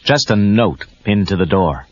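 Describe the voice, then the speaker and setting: A man's voice: on the low side, lively intonation, measured pace, a little mellow. A man narrating a scripted children's story as a storybook narrator. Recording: dull lo-fi cassette tape. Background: music.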